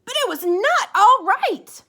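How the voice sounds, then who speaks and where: Woman as a shrill, bossy storybook bird wife. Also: big pitch swings, slow pace, pushed and bright, full character voice. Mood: cross, insistent. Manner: emphatic, high-pitched complaining. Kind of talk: children's storybook read-aloud.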